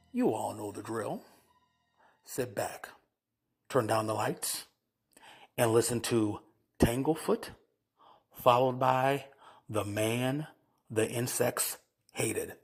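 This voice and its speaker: A man hosting a podcast, introducing old radio plays with dramatic pauses between short phrases. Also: mid-range voice, vocal fry. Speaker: podcast host. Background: music behind the voice.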